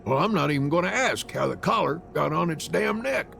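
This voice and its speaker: A gruff, hot-tempered father and hunter, as voiced by a man reading aloud in a visual novel. He scolds in a lively, creaky voice, with music behind him. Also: a little high, a little fast, exaggerated voice. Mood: irritated.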